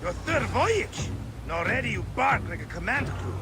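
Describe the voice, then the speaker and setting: Casting: swaggering, theatrical sea mercenary, game cutscene; very high, lively delivery. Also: male voice, moderate pace, creaky, music in the background, exaggerated voice. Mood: teasing. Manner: hearty, teasing, theatrical. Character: boisterous mercenary sea captain, bearded, bragging. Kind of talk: video game cutscene acting.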